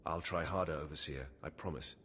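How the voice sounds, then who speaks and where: Very deep-voiced young Sith warrior. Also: male voice, fairly quick, a little hiss or hum. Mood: earnest. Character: stern young male Sith warrior apprentice, confident, terse. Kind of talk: video game voice acting. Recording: long hall reverb, loud music.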